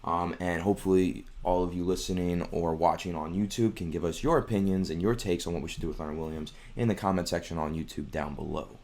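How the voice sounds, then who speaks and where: Young male co-host, very low pitch, throat scratchy from a head cold, chatty and plain. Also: steady tone, quick pace, few pauses, darkish tone. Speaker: podcast co-host. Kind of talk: casual sports podcast chat.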